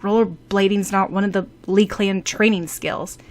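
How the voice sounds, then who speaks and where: A woman's voice: medium pitch, fairly even intonation, moderate pace, close to an everyday voice. Woman doing a grumbling, reluctant teenage boy. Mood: embarrassed, defensive. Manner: grumbling, muttering. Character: grumpy, embarrassed teenage boy, reluctant and defensive.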